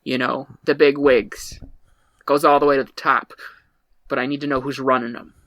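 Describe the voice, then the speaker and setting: A man playing a depressed lone detective in an improvised scene, terse, deadpan and threatening. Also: medium pitch, moderate pace, no room sound, close to an everyday voice. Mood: businesslike. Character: gruff depressed lone detective, male, grieving his wife.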